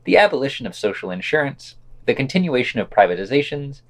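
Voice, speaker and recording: Male podcast news reader, mid-range pitch, animated melody, scripted delivery with casual commentary. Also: quick pace. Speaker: podcast host reading news.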